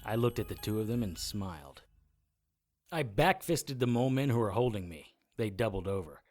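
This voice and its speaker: A man's voice: lowish voice, animated, normal speed, a little mellow, faint music behind, natural voice. A man playing a tough, defiant private investigator who hunts monsters, narrating an audio drama in a matter-of-fact, hard-boiled way. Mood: determined.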